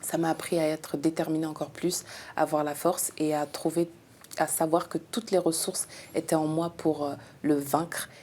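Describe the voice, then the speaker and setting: Low, mellow-voiced businesswoman. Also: normal speed, a little hiss or hum. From Democratic Republic of the Congo. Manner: calm, earnest, with frequent hesitations. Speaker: guest, businesswoman. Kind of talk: conversational interview.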